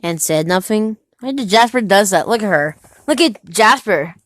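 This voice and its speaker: A nineteen-year-old woman asking a steady run of quick, curious follow-up questions in a casual chat at home. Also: mid-range voice, no room sound, clean studio sound.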